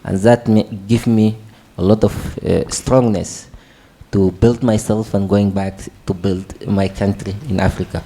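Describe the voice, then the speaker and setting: A man's voice: on the low side, flat delivery, unhurried, mellow. A young man from Darfur introducing himself on a student panel, hesitant with non-native phrasing and fillers. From Darfur, Sudan. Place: conference hall.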